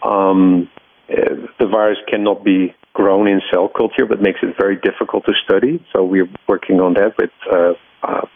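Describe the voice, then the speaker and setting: A middle-aged man with a Dutch accent answering interview questions as a research scientist, explaining his work in a halting way with frequent pauses. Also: low voice, fairly even intonation, creaky, no echo, narrow, tinny audio. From Netherlands.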